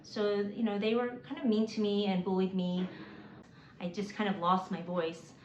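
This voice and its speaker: Earnest homeschooling mom in a roomy space. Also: female voice, medium pitch, flat delivery. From South Korea, raised in Mississippi. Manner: earnest, measured, with occasional fillers and restarts. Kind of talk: reading a prepared list. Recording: roomy, slightly dull, faint hiss.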